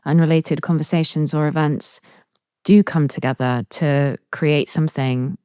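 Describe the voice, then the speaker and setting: Woman interviewer, slightly low pitch, conversational, appreciative, dry close sound. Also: fairly even intonation, unhurried, mellow, smooth, no room sound. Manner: effusive, appreciative.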